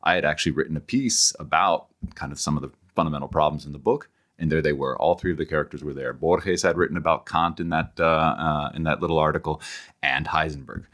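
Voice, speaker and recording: A middle-aged man with a very deep voice talks as a podcast guest. He explains things conversationally like an academic, often pausing and repeating words while he works out the thought. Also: fairly bright tone. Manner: halting, repeats words as he thinks aloud.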